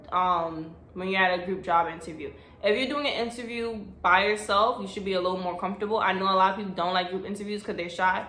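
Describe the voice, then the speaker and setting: A woman's voice: medium pitch, fairly bright tone, a little hiss or hum. A young woman talks straight into her phone camera, chatty and blunt. Her voice bounces off the walls of an echoey room. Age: young adult. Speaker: vlogger. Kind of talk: casual advice vlog.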